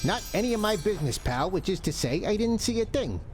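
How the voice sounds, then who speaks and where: An actor voicing a cheeky, wisecracking skeleton sparring dummy in a video game, with brash, chummy slang. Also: male voice, high-pitched, sing-song, a little fast, music in the background. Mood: evasive, brash. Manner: brash, slangy, chummy wise-guy talk. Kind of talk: video game character acting.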